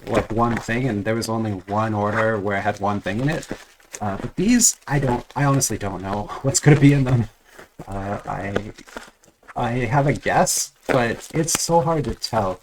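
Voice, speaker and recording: A man with a cold chatting casually while unboxing trading cards at home, rambling with many restarts and little chuckles. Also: mid-range voice. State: has a cold, voice a bit off. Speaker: trading card unboxing vlogger.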